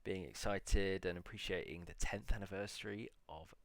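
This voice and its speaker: Gravelly low-voiced man musing aloud. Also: fairly even intonation, dry recording. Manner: musing aloud, reading titles slowly with many pauses. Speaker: video blogger. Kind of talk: casual solo reflection.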